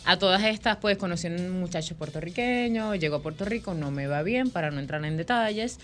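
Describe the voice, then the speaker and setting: A woman's voice: on the low side, animated, brisk, faint music behind. A woman in her thirties with a Venezuelan accent, a microblading artist telling her life story as a guest on a radio talk show. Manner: chatty, storytelling, laughs easily.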